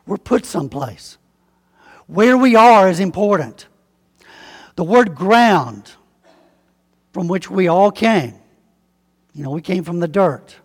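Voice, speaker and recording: Southern pastor preaching in a reverberant hall. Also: male voice, sits high, expressive, slightly rough. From Alabama, US. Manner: folksy, conversational preaching with slow emphatic spelling-out. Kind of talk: sermon.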